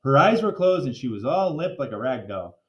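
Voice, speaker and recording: A man's voice: mid-range voice, sing-song, moderate pace, lo-fi sound. A man reading a children's storybook aloud, with casual asides and simple explanations for young listeners. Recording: echoey, roomy sound.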